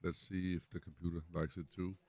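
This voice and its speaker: A man's voice: low-pitched, flat delivery, brisk, a little mellow. A man commenting on his own backgammon match, thinking aloud in English, which is not his first language. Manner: analytical, muses over moves half to himself. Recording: dull lo-fi recording.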